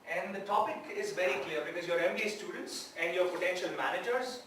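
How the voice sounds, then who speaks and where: A man's voice: high voice, fast, a bit bright. A male guest professor giving a lecture to students, asking them questions and repeating his key words. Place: large echoey hall. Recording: echoey hall, distant mic, faint noise.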